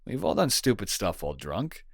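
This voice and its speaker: Man acting as a caring, animated, teasing boyfriend. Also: on the low side, moderate pace, creaky, no echo, studio quality, full character voice. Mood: teasing, fond. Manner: casual, playful, gently bantering. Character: caring, teasing young boyfriend nursing a sick partner. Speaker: audio roleplay performer. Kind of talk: intimate boyfriend roleplay comfort audio.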